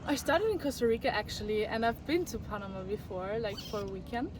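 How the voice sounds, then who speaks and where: A woman's voice: fairly high pitch, lively intonation, brisk, far from the mic, background noise. A woman from Austria, chatting easily about her travels. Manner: easygoing, chatty. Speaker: fellow traveler. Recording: crowded outdoor background.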